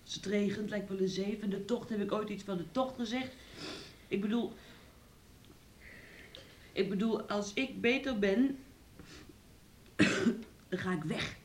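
Radio drama: actress plays ailing young woman, fairly low voice, halting, protesting, trapped but defiant; rumbly, noisy recording. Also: close to an everyday voice. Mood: weary, faint. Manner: halting, repeats words, protesting. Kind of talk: Dutch radio play acting.

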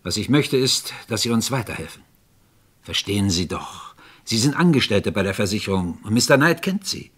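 An actor playing a patient, probing police inspector in a German radio crime drama, with a courteous, persuasive way of questioning. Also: male voice, fairly low pitch, animated, normal speed, fairly bright tone, breathy edge. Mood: patient, pressing.

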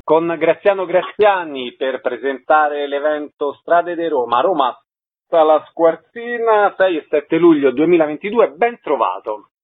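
Higher-pitched male radio host, thin sound. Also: expressive, a little slow, phone-quality sound, soft background music. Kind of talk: radio interviewing and event announcements.

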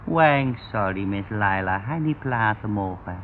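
Deferential servant in broken pidgin. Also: male voice, lowish voice, animated, a little slow. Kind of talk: old radio drama acting. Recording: muffled narrow-band old radio recording, faint noise.

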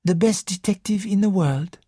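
A woman narrator voicing a courteous, self-assured male detective who speaks gently and thoughtfully in an audiobook. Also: mid-range voice, normal speed, slightly rough, no echo, studio quality, pitched above the performer's own voice, natural voice. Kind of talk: audiobook character dialogue.

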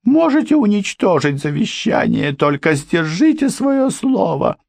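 A man voicing a frightened middle-aged housekeeper in a high, strained, tearful voice. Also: very animated, measured pace, vocal fry, darkish tone, no echo, full character voice. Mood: pleading. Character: anxious middle-aged female housekeeper, shrill, pleading. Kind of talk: audiobook character voice.

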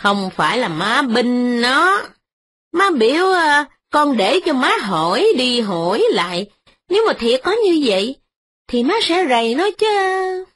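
A bossy old matriarch shrieks out her scolding in a high, husky voice that leaps wildly up and down, as a woman reading a storybook puts her on. She rattles off the insults fast, never letting anyone get a word in. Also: very animated, fairly bright tone, no echo, clean studio sound, full character voice. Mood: defensive scolding. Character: shrill scolding old mother-in-law, domineering.

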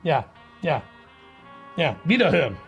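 A man's voice: fairly high pitch, very animated, unhurried, a bit bright, faint music behind, exaggerated voice. A man playing a courteous, slightly flustered middle-aged police commissioner who loves opera, in a conversational radio crime comedy, polite, hesitant and wry. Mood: irritated, brisk. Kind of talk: German radio play acting, conversational.

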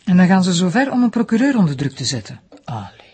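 An actress playing an inquisitive, sceptical magistrate with a husky voice in a TV crime drama. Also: female voice, on the low side, sing-song, quick pace, music in the background. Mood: probing, surprised. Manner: questioning, probing.

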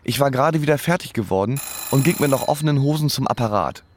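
A man's voice: medium pitch, animated, quick pace, darkish tone, slight background noise, natural voice. An actor playing an awkward, frustrated man who tells of his failed romances in a radio play. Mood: wry, bitter. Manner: sardonic in narration, stammering when flustered. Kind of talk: first-person radio play narration and dialogue.

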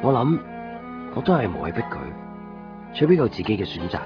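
An actor playing a brooding, gentle young man who narrates his lost love introspectively in a Cantonese radio drama. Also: medium pitch, big pitch swings, a little fast, lightly acted. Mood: wistful. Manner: wistful, introspective. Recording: dull 1990s radio recording, music behind. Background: music with guitar.

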